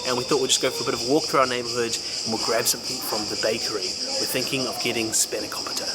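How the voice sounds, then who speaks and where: A man's voice: mid-range voice, steady tone, rapid-fire, very noisy. A man chatting casually and enthusiastically as a cheerful travel vlogger. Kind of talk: casual vlog chat. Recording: loud music behind the voice.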